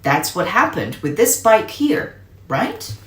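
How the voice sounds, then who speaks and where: A woman's voice: on the low side, sing-song, slow pace. A woman voicing a stern, no-nonsense uncle who instructs his young nephew in a storybook read-aloud, firmly and emphatically. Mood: stern lecturing. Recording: roomy echo, faint music behind.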